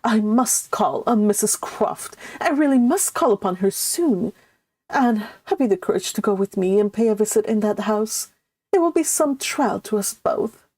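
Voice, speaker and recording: A woman reading the part of a polite, anxious older gentlewoman from a classic novel, formal and earnest. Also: medium pitch, lively intonation, moderate pace, no room sound, close to an everyday voice. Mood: resolute, uneasy.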